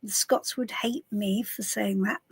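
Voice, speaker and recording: A middle-aged woman from southwest London, her voice a little husky, chatting casually to viewers on a live stream from inside a camper van, calling people darling. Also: medium pitch, fairly even intonation, measured pace, dry recording. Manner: chatty, warm greetings to viewers, calls people darling and love. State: a bit husky voice. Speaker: tarot reader hosting a live stream.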